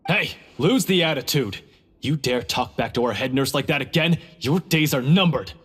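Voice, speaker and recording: An actor playing a plain-spoken prison guard in a video game, informal and blunt. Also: male voice, mid-range voice, lively intonation, normal speed, a bit bright, breathy edge. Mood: threatening.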